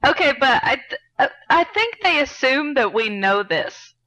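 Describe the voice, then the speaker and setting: A woman's voice: high voice, sing-song, normal speed, sharp tone, poor audio. A woman co-hosting a casual film chat, playfully teasing in short, conversational remarks. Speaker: podcast co-host.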